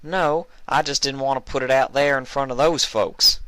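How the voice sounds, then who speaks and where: A man's voice: mid-range voice, fairly even intonation, normal speed, a bit bright, smooth, dry recording, pitched above the performer's own voice, natural voice. A man voicing a stubborn, feisty young female cowgirl pony in a story reading, with curt, folksy country talk. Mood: matter-of-fact.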